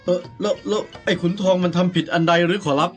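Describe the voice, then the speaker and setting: A fairly high-pitched male servant played by a man from a period radio play. He is plain-spoken and earthy, bowing to those above and grumbling at those beside him. Music plays clearly behind his lines. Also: flat delivery, quick pace, close to an everyday voice. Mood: nervous, deferential. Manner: hesitant, obsequious to master, rough with peers. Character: deferential, grumbling male servant in an old noble household. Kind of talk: radio drama acting.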